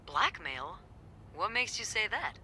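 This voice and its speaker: An actress playing a breezy, playful receptionist and nosy confidante in a video game. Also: female voice, medium pitch, very animated, measured pace, sharp tone, slight background noise, full character voice. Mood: playful, intrigued. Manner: playful, conspiratorial.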